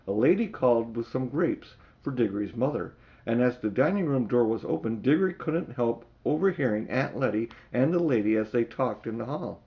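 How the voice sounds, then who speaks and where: Older male storybook narrator, mid-pitched, expressive, homespun reader who leans into dramatic words. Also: normal speed, rough recording. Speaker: amateur audiobook reader.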